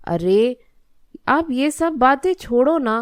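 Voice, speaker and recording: A woman voicing a gentle, practical grandmother in a cartoon moral story, speaking in a measured, placating way. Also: high-pitched, expressive, clear, resonant tone, no echo, clean studio sound. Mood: soothing. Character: gentle, practical grandmother soothing her husband.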